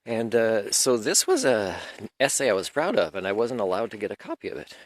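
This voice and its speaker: Rambling, aggrieved low-voiced man. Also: big pitch swings, fairly quick, dry recording. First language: French. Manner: rambling, aggrieved, clears throat often. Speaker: video lecturer. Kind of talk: unscripted monologue.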